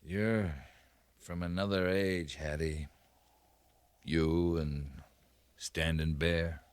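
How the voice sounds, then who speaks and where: An actor playing a weakened American cavalry captain in a 1950s radio western, terse and halting, commanding but worn out, with dry humor. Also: male voice, very deep voice, fairly even intonation, clear, resonant tone, no echo, exaggerated voice. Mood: subdued. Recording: noisy vintage 1950s radio recording.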